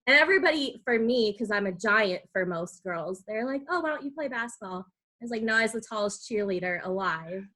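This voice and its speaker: A woman's voice: fairly high pitch, animated, fairly quick, pushed and bright. An American woman hosting an interview, chatting informally with self-deprecating asides. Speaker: podcast host. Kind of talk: casual chat interviewing.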